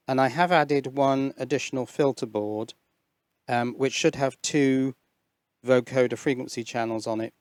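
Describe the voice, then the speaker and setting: A man's voice: medium pitch, a little mellow, no room sound. A man giving an informal hands-on electronics demonstration on a headset mic, musing and hesitant as he thinks aloud. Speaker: host of an electronics hobby channel. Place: indoors at an electronics workbench. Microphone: headset microphone.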